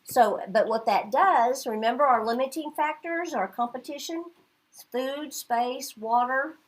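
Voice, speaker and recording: A woman's voice: high voice, unhurried. A middle-aged woman from Oklahoma teaching a class, chatty and checking in with her students as she goes. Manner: chatty, checks in with "okay?" often.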